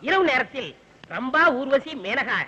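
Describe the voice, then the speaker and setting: A man's voice: extremely high pitch, expressive, a little slow, pushed and bright, husky, exaggerated voice. A man playing the death god's meek, easily alarmed scribe, speaking deferentially and theatrically in a mythological comedy film. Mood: apologetic. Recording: dull, muffled film soundtrack.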